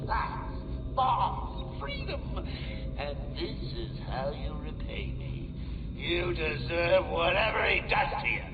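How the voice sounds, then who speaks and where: A gleeful clown villain mocks his foes in a high, lurching voice that leaps and dives without warning. His taunts come slow and deliberate, broken by cackling. The game audio sounds thin and phone-like, with a long echo and loud music behind it. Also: huge pitch swings, recorded from a distance, heavily acted. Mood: menacing. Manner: theatrical, mocking, cackling, swinging wildly in pitch. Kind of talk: video game voice lines. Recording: tinny, narrow-band game audio with echo.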